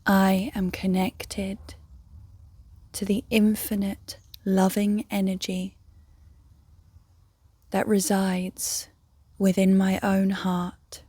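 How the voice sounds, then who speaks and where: Woman, meditation guide, gentle and soothing, breathy near-whisper, mellow tone, flat calm pitch, long pauses. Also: no echo. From England. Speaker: meditation guide, yoga teacher.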